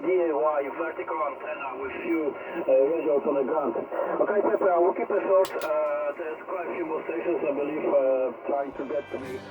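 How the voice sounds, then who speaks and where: A man working as an amateur radio operator, halting as he spells out callsigns, heard over a radio. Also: a little high. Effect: heard over shortwave radio. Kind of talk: ham radio contact. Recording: dull band-limited radio reception.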